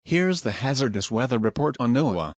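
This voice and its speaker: A man's voice: medium pitch, expressive, moderate pace, dry recording, lightly acted. A man playing a formal, cautioning radio weather reporter reading a scripted bulletin.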